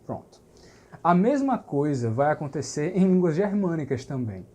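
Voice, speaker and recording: A man's voice: a little high, big pitch swings, moderate pace, a little mellow. Man explaining grammar. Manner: explanatory, checks understanding with tags like certo and né. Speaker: language teacher. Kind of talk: recorded language lesson.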